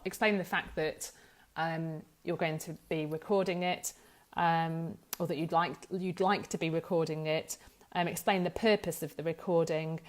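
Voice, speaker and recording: A woman giving an informal explanatory talk as a legal expert, thinking aloud and correcting herself as she goes. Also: low-pitched. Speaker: data protection law expert presenting an explainer.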